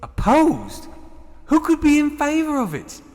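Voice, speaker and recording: A man playing an earnest, jittery young assistant in a sci-fi audio drama, hesitant yet excitable. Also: extremely high pitch, measured pace, full character voice. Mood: indignant. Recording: long hall reverb, faint music behind.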